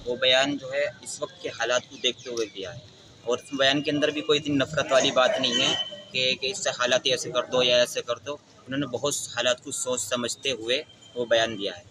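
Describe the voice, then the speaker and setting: A man answers an interview question off the cuff, in wordy, loose phrases. His voice is average in pitch. There is clear ambient noise from the location. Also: fairly even intonation, normal speed. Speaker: interviewee, seminary associate.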